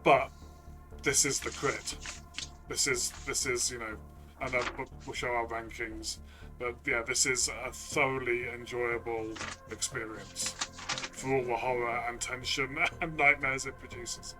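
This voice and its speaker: A man's voice: medium pitch, fairly even intonation, measured pace, music in the background. A man co-hosting a casual review discussion from a player's side, agreeable and conversational, feeling out his phrasing. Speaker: co-host and player.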